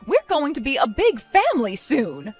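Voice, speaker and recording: A woman's voice: high voice, wildly swooping pitch, normal speed, faint music behind. A woman voicing a stern, disappointed mother nearing retirement age in a dramatized story, scolding with weary firmness. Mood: weary, resigned.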